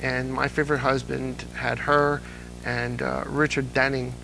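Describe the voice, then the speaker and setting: A man's voice: mid-range voice, flat delivery, unhurried, gravelly, noisy background. A man being interviewed as an old-time radio fan, telling anecdotes eagerly with plenty of fillers. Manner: enthusiastic, anecdotal storyteller with many fillers. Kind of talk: casual interview.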